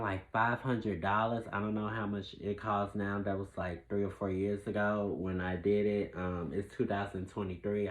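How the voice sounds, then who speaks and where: A man's voice: lowish voice, poor audio. A man with a head cold, sounding stuffed up, who chats casually to his viewers with many restarts and self-corrections. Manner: laughs at his own jokes. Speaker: filmmaker vlogger. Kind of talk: casual talking-to-camera vlog.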